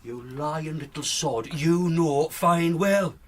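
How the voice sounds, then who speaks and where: A man's voice: fairly high pitch, slow pace. A man with a Northern English accent playing a blunt, sick, short-tempered working-class father in a radio drama. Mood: angry, disapproving. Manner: blunt, scolding, sweary. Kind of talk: 1990s radio drama acting.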